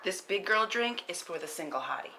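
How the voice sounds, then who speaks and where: A woman's voice: fairly low pitch, expressive, brisk, natural voice. A woman playing a cool, confident sharpshooter and teasing friend in a screenplay table read, casually giving pointers. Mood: teasing, warm. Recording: faint room background noise.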